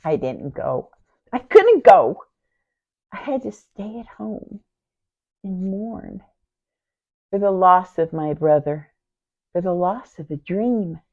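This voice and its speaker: A woman playing a devoted, grieving youngest sister in a theatrical, deliberate Bible monologue that moves between hushed tones and outcries. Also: on the low side, natural voice. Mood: grieving, subdued. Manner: theatrical, deliberate, swinging from hushed near-whispers to loud outcries. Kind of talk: dramatic first-person Bible monologue. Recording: dull, lo-fi sound.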